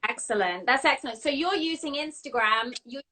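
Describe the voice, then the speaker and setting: A woman's voice: high-pitched, lively intonation, fairly quick, sharp tone. A woman hosting a celebrity interview, effusive and full of gushing praise. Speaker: showbiz show host.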